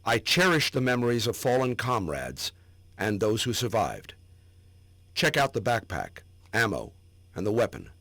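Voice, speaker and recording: A man's voice: fairly low pitch, wildly swooping pitch, normal speed, vocal fry, fairly bright tone, no echo, full character voice. A man giving a dramatic reading as a proud war veteran looking back on his service. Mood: wistful. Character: proud, remembering male Vietnam war veteran. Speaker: reader.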